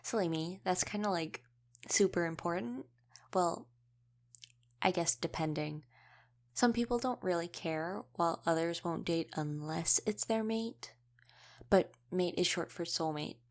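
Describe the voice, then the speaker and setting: A woman's voice: on the low side, a little slow, a little mellow. A young woman playing a friendly, chatty werewolf college student in a casual audio roleplay, rambling through explanations straight to the listener. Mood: friendly, explanatory.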